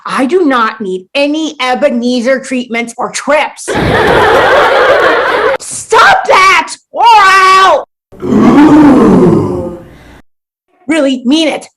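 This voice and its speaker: A woman's voice: sits high, expressive, takes it slowly, heavy background noise. A woman playing a bewildered, exasperated sitcom newcomer in a comedic radio play, sarcastic and dramatically indignant. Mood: threatening, angry.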